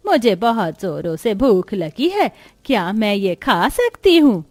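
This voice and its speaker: A woman's voice: mid-range voice, sing-song, unhurried, a little mellow, dry recording. A woman voicing a hungry, pleading old village woman in a folk story. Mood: plaintive.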